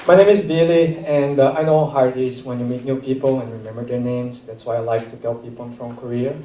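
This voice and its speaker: A man with a non-native English accent doing a stand-up set, self-deprecating and halting, laughing at his own jokes. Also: medium pitch, fairly even intonation, noisy background. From Brazil. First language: not English. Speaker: stand-up comedian. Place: comedy theater stage. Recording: roomy live theater, mic at a distance.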